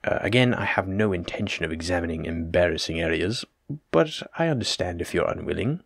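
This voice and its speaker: A man acts out a learned plague doctor from olden times in an immersive audio drama. His voice sits fairly low and is recorded dry, with little room sound. He addresses the listener as his patient in formal, wordy, reassuring phrases, always polite. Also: animated, fairly quick, gravelly, no echo, heavily acted. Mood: gently attentive. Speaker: physician examining a patient.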